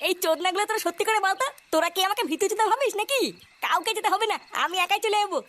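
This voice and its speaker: A woman's voice: very high voice, racing, fairly bright tone. A woman voicing a cocky, irritable young village man in a comic cartoon, brash and full of complaints. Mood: cocky, boastful.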